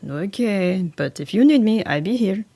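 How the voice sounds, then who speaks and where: A woman's voice: mid-range voice, lively intonation, moderate pace, mellow, dry recording. A woman voicing a meekly obliging owl sidekick for a podcast.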